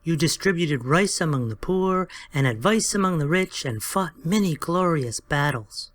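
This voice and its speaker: A woman's voice: deep voice, animated, moderate pace. A woman voicing a small, timid silver court jester in a dramatized audiobook, deferential and sing-song in rhymes.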